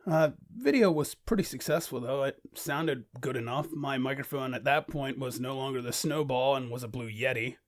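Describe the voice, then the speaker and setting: A man's voice: mid-range voice, normal speed, hardly any pauses. A young man narrating a reflective, informal video in a self-deprecating manner, as an amateur voice actor.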